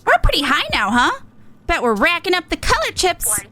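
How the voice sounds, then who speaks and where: A woman voicing a brash, upbeat young pop star from a video game, slangy, punchy and excitable. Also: very high voice, moderate pace, noisy background, full character voice. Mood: excited. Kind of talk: character reading of video game dialogue.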